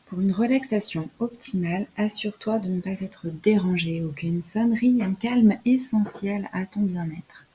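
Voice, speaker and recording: A woman leading a scripted guided relaxation in a soft, soothing, instructional way, as a yoga nidra guide. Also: mid-range voice, moderate pace, hardly any pauses, slightly rough, low-quality recording.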